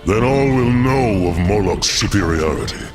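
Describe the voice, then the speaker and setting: An actor voicing a boastful, menacing demon warlord in a video game, taunting and grandiose. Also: male voice, lowish voice, expressive, moderate pace. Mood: menacing boasting. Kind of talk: theatrical video game villain acting. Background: music.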